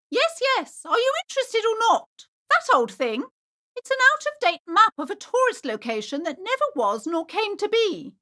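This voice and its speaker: A woman's voice: very high voice, bright, forceful tone, full character voice. A woman playing a prim, anxious, superstitious bookshop keeper in a video game, with a fussy, formal, theatrical manner. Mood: fussy, businesslike. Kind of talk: acted video game dialogue.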